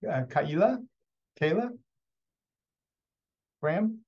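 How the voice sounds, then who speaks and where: A man's voice: high-pitched, expressive, takes it slowly, darkish tone, slightly rough. A man hosting a video call, calling on people by name in brief, polite turns. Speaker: host. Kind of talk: moderating introductions on a video call. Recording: muffled video call audio.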